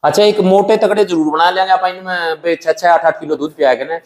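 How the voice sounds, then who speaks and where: Haryanvi farmer with reverberant sound, higher-pitched voice. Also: male voice, steady tone, fairly quick. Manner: plain-spoken, colloquial, often addresses listener as brother. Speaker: dairy farmer and buffalo breeder. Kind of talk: casual interview giving practical farming advice.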